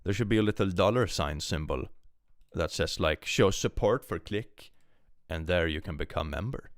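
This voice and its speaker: A young man streaming live with a powerful voice, reading posts aloud and chatting casually, often jumping into excited exclamations. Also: moderate pace, no echo, clean studio sound. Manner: animated, jumps into high excited exclamations.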